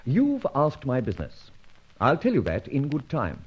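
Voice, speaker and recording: Suave husky masked vigilante, British, dull recording. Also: male voice, medium pitch, wildly swooping pitch, normal speed. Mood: cool, defiant. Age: young adult. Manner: mock-polite, wry, commanding. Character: suave masked young vigilante thief, bold, mocking, menacing. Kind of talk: old-time radio detective acting. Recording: dull vintage radio recording.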